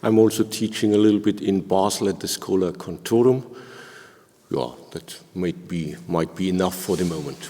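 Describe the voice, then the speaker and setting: A long hall reverb surrounds a mature man with a German accent. His voice is fairly low, and he explains things informally as a professor on a panel. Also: moderate pace, dark tone.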